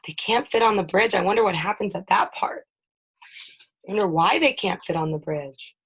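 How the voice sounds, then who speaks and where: A mother reading a children's picture book aloud, with sing-song storytelling and drawn-out sound words. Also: medium pitch, expressive, slightly rough, poor audio. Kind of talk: children's read-aloud with questions.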